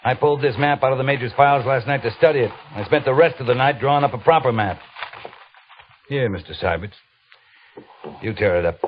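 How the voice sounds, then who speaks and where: An actor playing a stern, exacting cavalry captain with a clipped, commanding manner in an old-time radio western. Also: male voice, mid-range voice, a little fast, long pauses, close to an everyday voice. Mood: gruff, reproving. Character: stern, exacting male cavalry captain, brusque, grudgingly fair. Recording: muffled old radio broadcast, audible hiss.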